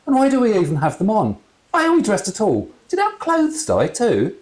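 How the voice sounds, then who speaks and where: A man voicing a ghostly father in a storybook, rambling, fussy and excitable. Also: sits high, normal speed, pitched above the performer's own voice. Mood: chatty, puzzled. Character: chatty, grumbling ghost father with theatrical tastes.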